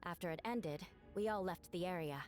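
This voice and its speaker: A woman's voice: on the low side, normal speed, soft background music, natural voice. An actress playing an earnest young woman adventurer in a game, giving careful testimony. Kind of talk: English game voice acting.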